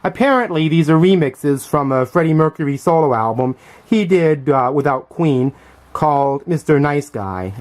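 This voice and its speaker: A middle-aged man giving a casual, unscripted show-and-tell commentary as a collector, thinking aloud in a halting, hesitant way. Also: medium pitch, darkish tone. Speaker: vlogger and music collector showing finds.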